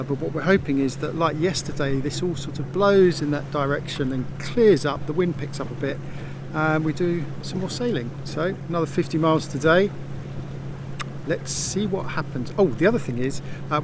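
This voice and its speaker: A middle-aged man vlogging casually from the deck of a sailboat, rambling with fillers, filming himself with a handheld phone. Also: fairly high pitch. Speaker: sailing vlogger. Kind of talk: casual vlog narration. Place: deck of a sailboat at sea, outdoors. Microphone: phone held at arm's length. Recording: wind and water noise outdoors. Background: wind, waves and boat noise.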